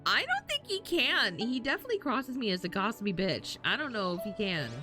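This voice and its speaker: A woman's voice: a little high, sing-song, a little fast, bright, forceful tone. A woman reacting casually to a game on a live stream, chatty, blunt and sweary. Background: game music.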